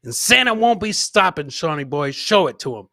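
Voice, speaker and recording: A man's voice: a little high, very animated, normal speed, vocal fry, sharp tone, no echo, studio quality. A man hosting a live comedy podcast, giving casual, rambling commentary on clips and repeating his catchphrases.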